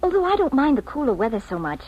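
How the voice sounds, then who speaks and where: Shy young woman, animated, husky. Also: high voice, fast talker, heavily acted. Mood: shy, eager. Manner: polite, shy, gushing small talk. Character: nice, plain, eager young woman on blind date. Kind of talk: old-time radio drama acting. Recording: muffled vintage radio recording.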